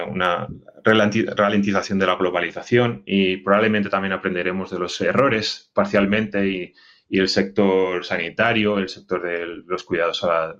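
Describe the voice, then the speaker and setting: A man's voice: deep voice, a bit bright, lo-fi sound. A man speaking analytically as a guest on a radio programme. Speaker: interviewed guest. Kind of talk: analytical spoken opinion on radio.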